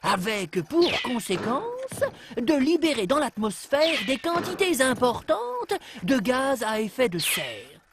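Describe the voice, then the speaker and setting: A woman narrates an educational cartoon like a storybook tale, in a measured, deliberately phrased storytelling delivery. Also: high-pitched, big pitch swings, background noise.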